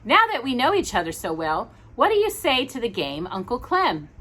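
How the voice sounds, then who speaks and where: A woman's voice: on the high side, huge pitch swings, moderate pace, sharp tone, heavily acted. A woman voicing a cheerful, kindly boy rag doll in a storybook, earnest and cheery. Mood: eager.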